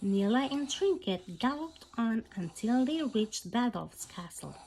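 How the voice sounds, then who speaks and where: A woman reading a storybook aloud as scripted narration, in an expressive, animated way. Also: on the high side, measured pace, a little hiss or hum, rough recording. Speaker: bedtime story reader.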